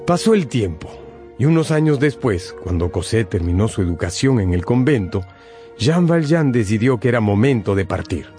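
A man's voice: on the low side, sing-song, moderate pace, mellow. A man narrating a scripted radio drama as a dramatic storyteller. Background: background music.

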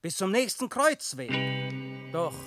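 An actor playing a sly, implacable supernatural soul-collector in a radio verse drama, speaking in a measured, insinuating, theatrical way. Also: male voice, high-pitched, sing-song, over loud music, heavily acted. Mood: stern bargaining. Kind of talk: 1960s German radio drama verse acting.

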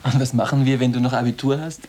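A man's voice: medium pitch, steady tone, fast, mellow, a little hiss or hum, natural voice. A man playing a defiant, aloof teenage boarding school boy, terse and matter-of-fact, in a radio drama. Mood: earnest. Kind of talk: German literary radio drama. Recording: long hall reverb.

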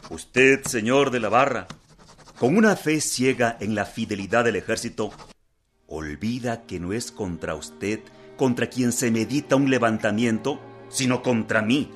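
An actor playing an earnest, indignant revolutionary leader in a historical radio drama, speaking in formal, emphatic oratory. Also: male voice, medium pitch, a little slow, soft background music, lightly acted. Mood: reproachful, urgent.

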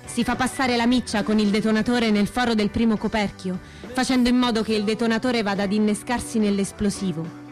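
An actress playing an earnest, resolute young woman militant in a radio theatre monologue, speaking in measured, declarative short phrases. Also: fairly high pitch, fairly even intonation, a little mellow, lightly acted. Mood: matter-of-fact instructing. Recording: music under the voice.

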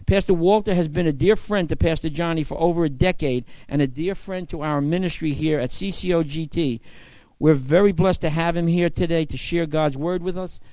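A man from the church introducing a guest speaker in an earnest, measured read. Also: fairly high pitch, warm tone, dry recording, poor audio.

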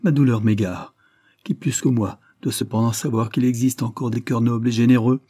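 Storybook heroine read by a man: frightened, tender young woman, pleading and anguished, emotional delivery, slightly husky, mellow tone. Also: medium pitch, fairly even intonation, fairly quick, no room sound, studio quality, natural voice.

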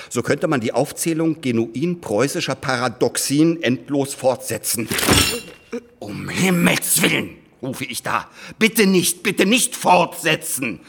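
An actor playing an impatient, condescending business lawyer in a German radio drama, speaking with sarcastic, rhetorical emphasis. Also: male voice, medium pitch, bright, forceful tone, breathy edge, exaggerated voice. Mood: exasperated, ranting.

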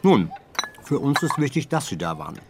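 An actor playing a courteous, coaxing senior police investigator in a radio drama. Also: male voice, medium pitch, big pitch swings, moderate pace, music in the background, lightly acted.

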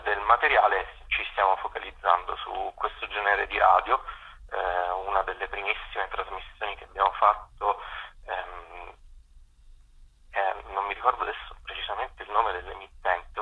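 A man being interviewed on radio by phone, explaining things in a halting way with many pauses. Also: fairly low pitch, breathy edge. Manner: hesitant, halting with frequent pauses. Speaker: community radio programmer, interview guest. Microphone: phone call. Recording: dull telephone call.

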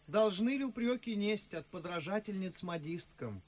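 An actor playing a sharp-witted, sardonic young gentleman in a radio play, declaiming with irony. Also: high voice, animated, moderate pace. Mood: dry, ironic. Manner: ironic, declaiming. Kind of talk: theatrical radio play acting.

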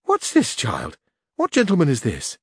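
A man's voice: on the high side, big pitch swings, moderate pace, dry recording, studio quality, voice pushed up. A man voicing a humble, plain-spoken countrywoman past forty in a storybook reading, flustered.

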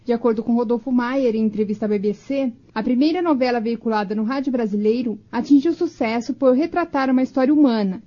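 High-pitched Brazilian woman narrating. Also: quick pace, few pauses, warm tone, muffled recording. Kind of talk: scripted radio documentary narration.

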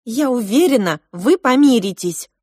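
A woman's voice: fairly high pitch, expressive, normal speed, dark tone, no room sound, studio quality, voice pushed up. A woman playing a bold, eager young girl.

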